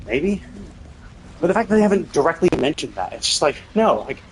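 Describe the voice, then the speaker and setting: A man with a slightly high voice rants casually over a game stream. He is exasperated and emphatic, swearing freely, and there is some light background noise. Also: big pitch swings, brisk. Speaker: livestreamer. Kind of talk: casual gameplay commentary rant.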